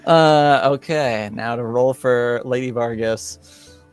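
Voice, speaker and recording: Slow, halting game master with a clear voice. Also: mid-range voice, steady tone, faint music behind. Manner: hesitant, halting, searching for words. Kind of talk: improvised tabletop roleplaying narration.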